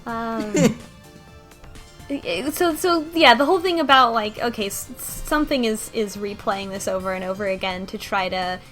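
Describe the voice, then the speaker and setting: A woman's voice: a little high, normal speed, music in the background. A woman talking casually in a group discussion about a game, rambling with many restarts and fillers. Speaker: podcast co-host discussing a game.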